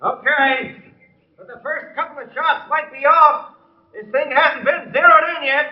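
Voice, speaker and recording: A man's voice: very high-pitched, unhurried, echoey room. An actor playing an obedient, plain-spoken hired man with a deferential, casual manner, in an old radio drama. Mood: casually dutiful. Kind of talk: 1940s-50s radio drama acting. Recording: dull, lo-fi old radio recording.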